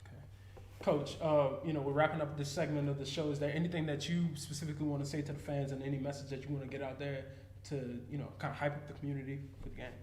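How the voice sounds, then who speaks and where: A man with a fairly high voice hosts a college sports show at a quick pace. He asks questions in a casual, loose way, with plenty of fillers. The room is echoey, with faint ambience behind him. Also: level tone, darkish tone, a little hiss or hum.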